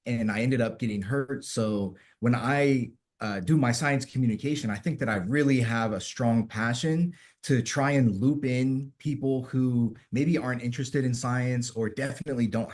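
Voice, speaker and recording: A young man in his twenties introduces himself on an online panel over a video call. He speaks at a middle pitch in a relaxed, self-deprecating way and repeats words now and then. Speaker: panelist, doctoral candidate.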